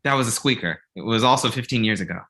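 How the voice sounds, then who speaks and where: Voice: medium pitch, animated, quick pace, a bit bright, close to an everyday voice. An actor reading the part of a blunt, exasperated male talent manager in a comedy table read. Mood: deadpan, blunt.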